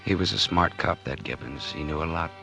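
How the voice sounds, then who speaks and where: A man's voice: rumbling low, fairly quick, gravelly. An American actor playing a jittery, guilty murderer in a 1940s radio drama, stammering and hesitant as he confesses. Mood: grim resignation. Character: nervous, guilty murderer confessing his scheme. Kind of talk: 1940s radio drama acting, first-person narration. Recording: old 1940s radio recording, music behind. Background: dramatic music.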